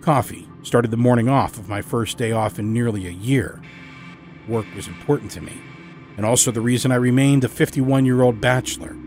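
A man narrating a first-person horror story as a storyteller, dramatic and expressive in his emphasis. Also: mid-range voice, normal speed, faint music behind. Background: musical score.

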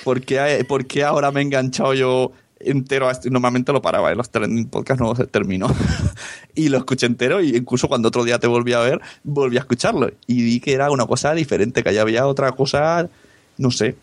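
A man's voice: mid-range voice, fast, dry recording. A man hosting a podcast interview, musing aloud and rambling through his thoughts with small chuckles. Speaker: podcast host. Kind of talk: casual interview chat.

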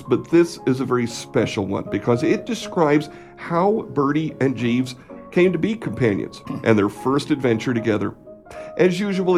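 A man's voice: mid-range voice, a little mellow. A man hosting a radio theatre show, reading a scripted introduction. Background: plucked guitar music.

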